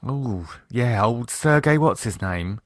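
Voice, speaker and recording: A man voicing a sharp-tongued wife, talking in a blunt, quick, scolding way. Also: medium pitch, expressive, dry recording, clean studio sound.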